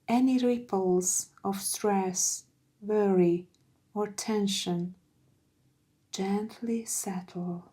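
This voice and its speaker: A woman guiding a meditation in a soft, soothing, gentle voice with breathy phrase endings. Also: mid-range voice, fairly even intonation. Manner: soothing, gentle, unhurried with long pauses. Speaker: meditation guide. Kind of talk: guided meditation narration.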